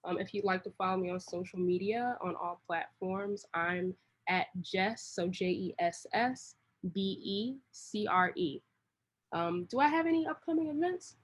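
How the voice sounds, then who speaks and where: A woman's voice: medium pitch, unhurried. Casual, long-winded woman in an interview. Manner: long-winded, rambling, slangy. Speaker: interview guest, creative director.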